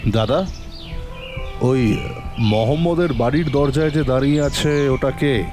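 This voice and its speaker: A man playing a stern police inspector in an audio drama, questioning briskly and probingly. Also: mid-range voice, over music, close to an everyday voice. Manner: brisk, interrogating. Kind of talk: Bengali audio drama acting.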